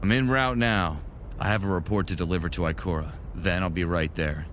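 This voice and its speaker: A man's voice: sits low, a little fast, background noise, lightly acted. A man playing a weary, remorseful futuristic soldier in an earnest, brooding way, narrating and speaking in a sci-fi audio drama. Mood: businesslike.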